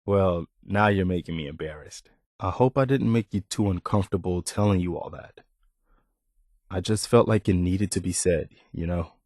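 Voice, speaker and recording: A man playing an earnest, loyal militia officer in a video game, speaking in a sincere, halting, conversational way. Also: low-pitched, darkish tone, no room sound, studio quality. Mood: flustered, bashful. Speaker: game character. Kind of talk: video game dialogue acting.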